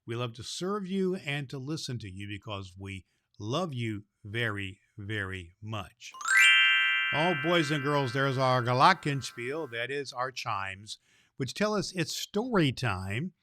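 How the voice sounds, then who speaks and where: A man playing a kindly old Santa Claus, hosting a children's Christmas show in a folksy, deliberate storyteller's manner. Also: mid-range voice, bright, forceful tone, dry recording, studio quality. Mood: friendly, inviting. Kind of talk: scripted announcements and storybook reading for children.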